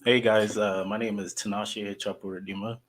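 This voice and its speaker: A man with a Zimbabwean accent introducing himself calmly, with a few "uh"s as he talks. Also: on the low side, moderate pace, slight background noise. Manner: calm, hesitant with "uh"s. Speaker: guest. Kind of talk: casual chat.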